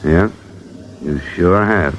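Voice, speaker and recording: An actor playing a tough, cool insurance investigator in a 1950s radio detective drama. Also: male voice, deep voice, takes it slowly. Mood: firm, cool. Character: tough, cool hard-boiled male investigator narrating his case. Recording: muffled old radio broadcast.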